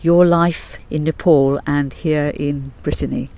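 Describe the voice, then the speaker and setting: A man's voice: a little high, steady tone, a little slow, a little mellow. A man interviewing guests in a chatty, encouraging way. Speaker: radio interviewer. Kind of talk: casual interview.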